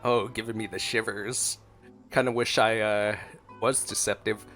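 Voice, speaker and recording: A man's voice: on the low side, steady tone, unhurried, fairly bright tone. A man giving casual, playful commentary as he plays a video game, full of offhand asides. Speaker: streamer reacting to a game. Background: game music.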